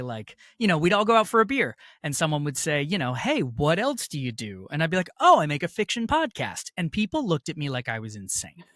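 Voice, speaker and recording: American man, interview guest, casual storytelling, chatty, jokey, emphatic, highly varied intonation, dry sound. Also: a bit bright, no room sound. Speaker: interview guest, audio fiction producer.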